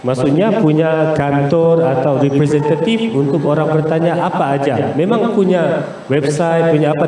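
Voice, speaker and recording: A Malaysian man speaks in a fairly high, mellow voice, explaining things as a business guest in an interview. He halts often to find his words. The recording is very echoey. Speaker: business guest, investor and trade promoter. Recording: echoey, distant mic with faint noise.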